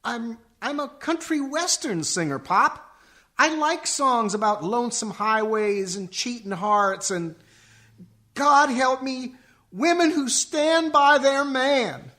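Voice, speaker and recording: An actor playing an earnest, aggrieved young musician son in a comic radio play, with melodramatic delivery. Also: male voice, very high voice, expressive, takes it slowly, sharp tone, full character voice. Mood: sheepish confession.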